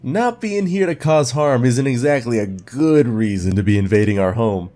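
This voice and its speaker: A man playing a suspicious, gruff neighborhood guard who questions outsiders in a slow, threatening way. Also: mid-range voice, animated, mellow, lightly acted. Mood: wary. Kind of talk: fan audio drama acting. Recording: faint music behind.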